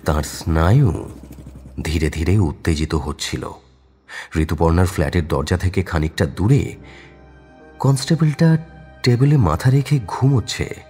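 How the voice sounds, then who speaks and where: Male narrator for a thriller audio story, deep voice, animated dramatic reading. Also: measured pace, long pauses, faint music behind.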